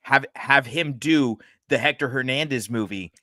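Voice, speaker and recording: A man co-hosting a horror movie podcast in casual chat, excitable, laughing often and swearing when worked up. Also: medium pitch, moderate pace, dry recording, studio quality. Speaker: podcast co-host.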